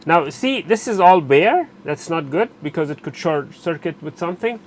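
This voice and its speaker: A man narrating a hands-on repair tutorial while he works, pausing and correcting himself as he goes. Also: a little high, expressive. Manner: unscripted, pauses and self-corrects while working. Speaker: electronics repair instructor.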